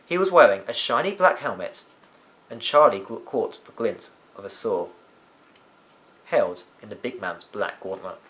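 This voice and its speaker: A man reading a children's story aloud as an expressive storyteller. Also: on the low side, measured pace, low-quality recording. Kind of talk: animated children's audiobook narration.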